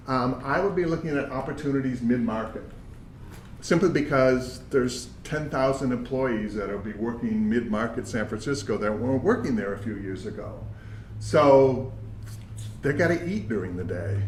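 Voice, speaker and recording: A man with a big voice teaches a job search workshop in a plain, conversational way, filling his points with examples from his own working life. He is recorded from well off the mic, so the sound is roomy and distant. A faint steady hum sits underneath. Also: medium pitch. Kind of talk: workshop talk to job seekers.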